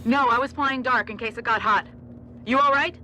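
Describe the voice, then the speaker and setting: An actress playing a brisk, loyal pilot in a video game, slangy, confident and protective. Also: female voice, a little high, pushed and bright, slight background noise, rough recording. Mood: urgent, concerned. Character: brisk, loyal female military-style pilot, breezy and protective. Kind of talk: video game cutscene acting.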